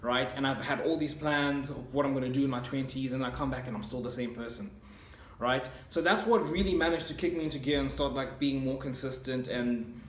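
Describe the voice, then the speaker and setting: A man's voice: mid-range voice, brisk, a little hiss or hum. Rambling young man in an echoey room. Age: 20s. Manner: rambling, many fillers and restarts, earnest. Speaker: self-improvement channel host. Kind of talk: casual motivational monologue.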